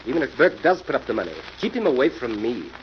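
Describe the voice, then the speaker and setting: A man acting in a 1940s radio drama as an impatient, scornful, sharp-tongued gentleman of the theater set. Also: mid-range voice, very animated, quick pace, close to an everyday voice. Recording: dull, noisy old radio recording.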